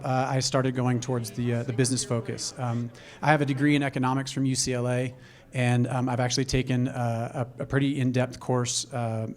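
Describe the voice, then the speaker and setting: A man giving a business pitch on stage through a microphone, halting and repeating words as he addresses a live audience. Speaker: entrepreneur pitching a product.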